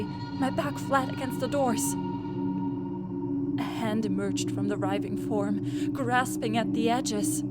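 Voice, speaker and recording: A woman tells a suspenseful visual novel story in the first person, in character as a student. Her voice sits fairly high and she takes her time. Loud music plays beneath the atmospheric narration. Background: prominent background music.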